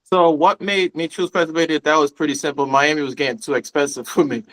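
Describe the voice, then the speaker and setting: A man from Guyana chats casually as a podcast guest. His voice sits a little high, and he is relaxed, often repeating a phrase as he gathers his thoughts. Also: quick pace, rough recording. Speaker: podcast guest, real estate investor. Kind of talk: casual conversation.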